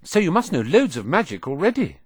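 A man acts a modest orphan boy in an audiobook. He is earnest, curious and slightly hesitant. The pitch moves freely and the sound is dry. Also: very animated, brisk, husky, dry recording. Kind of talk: audiobook character dialogue.

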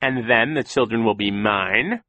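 A man's voice: on the low side, measured pace, no echo, narrow, tinny audio, lightly acted. A man voicing a scheming villainous sea captain who gushes with false sentiment, in an audiobook story. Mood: feigned innocence. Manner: theatrical, gushing insincerity.

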